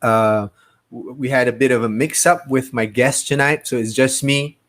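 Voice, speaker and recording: A man's voice: medium pitch, fairly even intonation, moderate pace, fairly bright tone. Chatty man with Midwestern American twang. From Malaysia. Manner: chatty, greets viewers one by one, mixes in Malay phrases. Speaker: livestream host. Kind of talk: casual livestream chat. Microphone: desk mic on a streaming setup.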